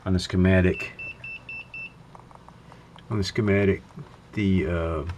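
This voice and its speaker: Low-voiced man, slow and halting. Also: steady tone, darkish tone, slight background noise. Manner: hesitant, halting, musing to himself. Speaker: hobbyist radio repairer narrating his work. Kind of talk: casual, thinking-aloud repair narration. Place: indoor electronics workbench.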